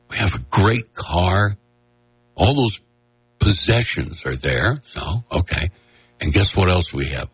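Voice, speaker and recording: A man's voice: sits low, husky. A man hosting a radio talk show, chatting casually in a nasal, joking, rambling way. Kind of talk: casual on-air chat. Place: radio studio. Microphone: studio mic with headphones.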